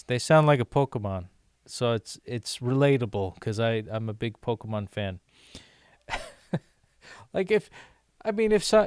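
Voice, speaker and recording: Chatty American guy with a smooth voice. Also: medium pitch, no echo, studio quality. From United States. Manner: chatty, rambling, many restarts and fillers. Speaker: language-learning vlogger. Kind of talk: casual rambling monologue to viewers.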